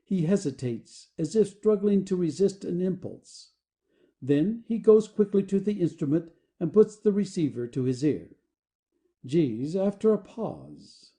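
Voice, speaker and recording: A woman's voice: fairly low pitch, expressive, measured pace, dark tone. A woman giving scripted narration, reading out the stage directions of an audio play.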